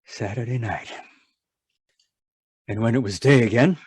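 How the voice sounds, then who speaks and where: A man's voice: medium pitch, a little slow, slightly rough, no echo. An actor playing a stern, irritable husband obsessed with his work, imperious and impatient, in old-time radio drama style. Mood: brooding. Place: live video call performance. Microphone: video call microphone.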